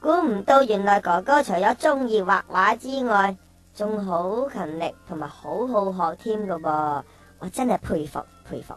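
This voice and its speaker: A woman's voice: medium pitch, animated, moderate pace, soft background music, natural voice, rough recording. A woman playing a talkative, well-meaning young schoolchild in a radio drama. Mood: admiring. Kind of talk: childlike radio drama acting.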